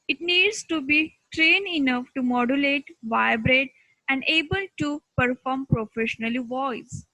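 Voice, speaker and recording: Female lecturer, Indian accent, bright forceful tone, dry close sound, deliberate delivery. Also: sits high, no room sound, rough recording. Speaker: teacher. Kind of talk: instructional lecture.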